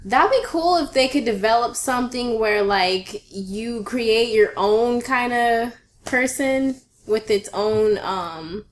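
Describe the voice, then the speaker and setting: A woman in a casual gaming chat, relaxed and curious, reacting often. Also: on the high side, slow. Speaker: co-host.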